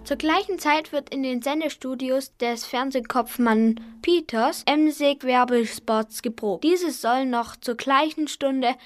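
A woman's voice: sits high, measured pace, hardly any pauses, faint music behind. A female narrator reading scripted storybook narration for a children's radio play.

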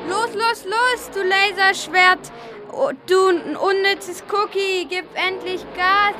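Kid girl doing an impatient villain, super high voice, slow, car sounds behind, kids radio play. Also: fairly even intonation, loud music behind the voice. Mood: impatient, scolding. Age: child, primary school. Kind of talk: amateur children's radio play. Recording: noisy car sound effects.

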